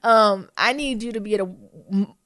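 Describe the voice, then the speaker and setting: Woman, podcast co-host, a little congested, frank and animated, dry close mic. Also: mid-range voice, fairly quick, no room sound. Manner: frank, animated storytelling with quoted voices. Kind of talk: casual chat.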